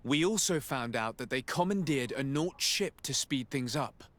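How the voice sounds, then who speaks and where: An actor playing a composed, decisive diplomatic envoy in a video game, speaking in a formal, measured way. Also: male voice, medium pitch, animated, a bit bright, close to an everyday voice. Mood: composed, firm. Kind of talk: scripted video game acting.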